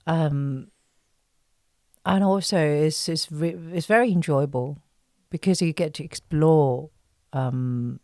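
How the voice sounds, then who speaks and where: A woman's voice: sits low, takes it slowly, warm tone, no echo. Thoughtful woman from China, dry close recording. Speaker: actress, interview guest. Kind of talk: casual interview answers.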